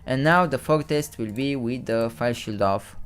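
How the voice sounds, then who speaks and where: Man, Romanian accent young streamer, smooth clear voice, rambling stumbling commentary, faint music bed. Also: mid-range voice, moderate pace. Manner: rambling, restarts and stumbles over words. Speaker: live-stream host. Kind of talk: casual live-stream commentary.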